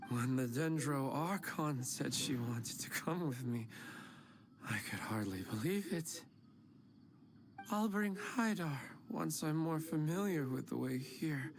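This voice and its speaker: A man voicing an earnest husband missing his wife in a game, wistful and unhurried. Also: on the high side, animated, a little hiss or hum. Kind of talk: game voice acting. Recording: game audio over loud music.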